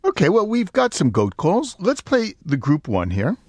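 A man's voice: sits high, huge pitch swings, moderate pace, very noisy. A man from Brooklyn with a New York accent, hosting a public radio interview with curious, playful questions. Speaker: radio show host. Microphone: studio microphone.

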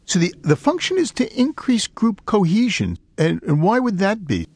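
A man's voice: high voice, wildly swooping pitch, moderate pace, no room sound. A man from Brooklyn with a New York accent, hosting a public radio interview with curious, playful questions. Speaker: radio show host. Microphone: studio microphone.

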